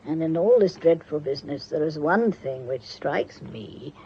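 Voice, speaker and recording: An actress playing an imperious, inquisitive old grandmother in a British radio drama, speaking in a stately, deliberate way. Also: deep voice, lively intonation, mellow, a little hiss or hum. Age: older. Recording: dull lo-fi radio recording.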